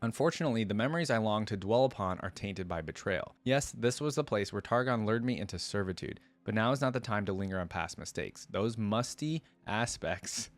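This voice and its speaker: A man's voice: lowish voice, lively intonation, a little fast, hardly any pauses. A man giving reflective reaction commentary and reading game lore aloud in a casual style.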